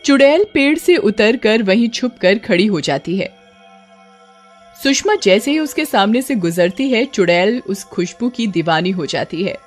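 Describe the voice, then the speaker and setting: A woman's voice: medium pitch, expressive, a little slow, soft background music. A woman storyteller giving a dramatic scripted narration of a horror tale.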